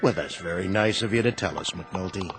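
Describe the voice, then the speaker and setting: An actor playing a weary, plain-spoken American bartender with a dry, sardonic manner in a radio drama. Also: male voice, sits low, a little fast, over music.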